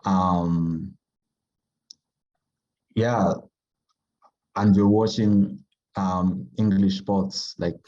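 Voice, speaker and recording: Soft-spoken man, dark mellow voice. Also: deep voice, steady tone, muffled recording. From Kenya. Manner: halting, thoughtful, heavy with fillers. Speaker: writer, podcast guest. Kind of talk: casual conversation.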